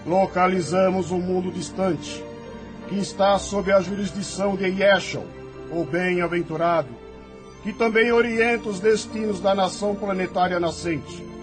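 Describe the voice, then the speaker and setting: A man's voice: sits high, measured pace. A man playing an exalted spirit chief of heavenly legions, proclaiming solemnly in an audio drama. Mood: solemn, commanding. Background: prominent music.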